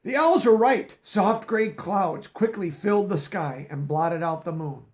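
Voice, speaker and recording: A man's voice: high-pitched, animated, measured pace, a little mellow. A man reading a picture book aloud with expression, stopping now and then to explain words for young listeners. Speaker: storybook reader.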